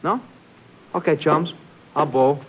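A man's voice: a little high, slow, husky, highs cut off. An actor playing a cocky, menacingly playful gunman who talks in sardonic slang, in 1950s radio drama style. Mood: mocking threat. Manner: slangy, sardonic tough talk. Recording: slightly hissy 1950s radio recording.

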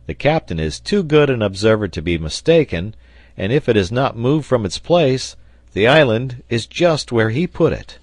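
Man acting a hearty, excitable sailor, swooping pitch. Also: moderate pace, dry recording, clean studio sound, natural voice. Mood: confident, hearty. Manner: emphatic, exclamatory, bluntly confident. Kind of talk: audiobook character dialogue.

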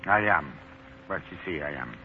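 An actor playing a sly, dignified Irish leprechaun posing as a manservant, wordy and mock-formal, in 1940s radio style. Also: male voice, extremely low pitch, animated, normal speed, slight background noise. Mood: dignified, wry. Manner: wordy, mock-formal, flustered when cornered. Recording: tinny old 1948 radio broadcast, music.

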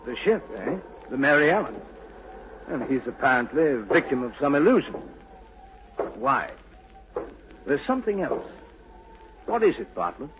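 A man plays a measured, curious doctor in a 1940s radio play. His mid-pitched voice questions deliberately, with small hesitations. The sound is dull and lightly hissy. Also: sing-song, natural voice. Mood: probing.

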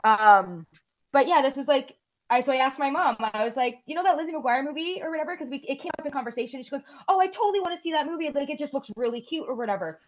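A woman's voice: sits high, animated, fast talker, dull audio. A woman in her forties, co-hosting a podcast and chatting casually, rambling and swearing freely. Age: 40s. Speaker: podcast co-host. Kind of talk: casual chat.

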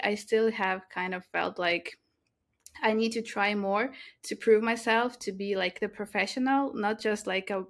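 A woman's voice: medium pitch, a bit bright. Young woman, tech guest; earnest, thoughtful, many fillers. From Latvia. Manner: earnest, with frequent fillers and restarts. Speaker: guest, mobile tech lead. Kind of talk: interview conversation.

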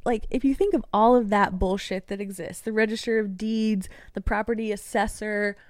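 A woman's voice: mid-range voice, fairly even intonation, normal speed, dry recording, studio quality. A young woman from East Tennessee, a podcast guest, ranting heatedly and swearing freely in casual conversation. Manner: heated, profanity-laced rants.

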